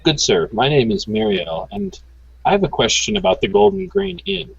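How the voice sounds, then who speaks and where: A man voicing a polite, inquisitive woman adventurer with a very deep, hoarse voice. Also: very animated, moderate pace, no room sound, dull audio, exaggerated voice.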